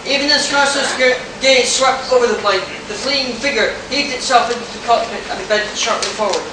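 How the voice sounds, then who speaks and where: A woman's voice: a little high, brisk. Woman reading pulp radio play narration live, far from the mic with noise behind. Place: convention hall. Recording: noisy, echoey convention hall from far off.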